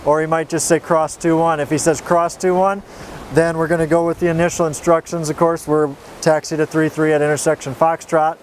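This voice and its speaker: A man's voice: a little high, level tone, fairly quick, a little hiss or hum. A man working as a flight instructor, giving an informal, straightforward tutorial with folksy step-by-step explanations. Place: outdoors.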